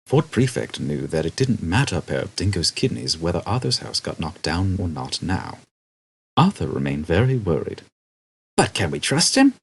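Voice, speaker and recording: A man's voice: sits low, sing-song, moderate pace, vocal fry, husky, low-quality recording. A man reading a comic novel aloud as a scripted storybook narration. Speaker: audiobook narrator.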